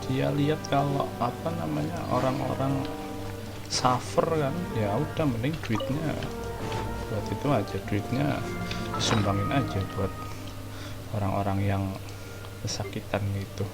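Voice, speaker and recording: A man's voice: medium pitch, normal speed, creaky, pauses often. Chatty man streaming a game. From Indonesia. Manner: slangy, amused, chatty. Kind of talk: casual live gameplay commentary. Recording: game music under the voice.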